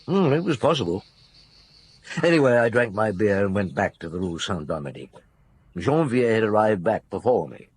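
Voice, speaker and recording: An actor playing a thoughtful, weary middle-aged chief inspector who ruminates with grunts and hums in a radio drama. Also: male voice, on the low side, big pitch swings, moderate pace, slightly rough, no room sound. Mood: pondering. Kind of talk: radio drama acting and first-person narration.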